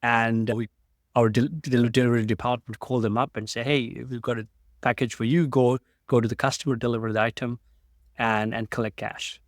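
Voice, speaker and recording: A man in his late thirties from Sri Lanka, a tech founder telling stories as an interview guest, halting with many restarts. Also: medium pitch, steady tone, dry recording, studio quality. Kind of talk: casual interview storytelling.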